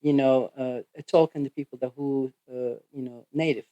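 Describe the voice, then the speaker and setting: Turkish man, physical therapist guest, accented English, mellow tone, hesitant delivery, dry close recording. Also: medium pitch, steady tone, no echo, dull audio. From Turkey. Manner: halting, full of "you know" fillers. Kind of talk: podcast interview answers.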